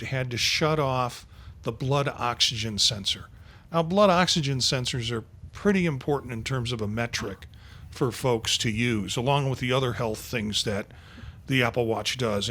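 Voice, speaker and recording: A man's voice: medium pitch, fairly bright tone. A man in his sixties hosting a podcast panel, rambling along with many fillers. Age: 60s. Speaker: podcast host. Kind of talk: casual panel chat.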